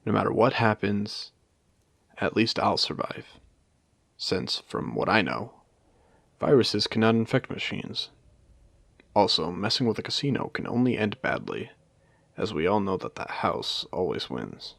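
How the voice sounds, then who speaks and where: A man voicing a suave, world-weary machine casino owner in an audio roleplay, speaking to the listener in a measured, genteel, theatrical way with pointed pauses. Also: on the low side, very animated, vocal fry, fairly bright tone, no echo, studio quality, exaggerated voice. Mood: cold, resigned. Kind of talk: intimate scripted audio roleplay addressed to the listener.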